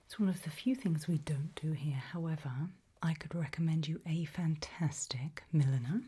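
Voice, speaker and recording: A woman whispering softly in an ASMR roleplay as a tailor, asking questions in a polite, measured, formal manner. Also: sits low. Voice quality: soft, breathy unvoiced whisper.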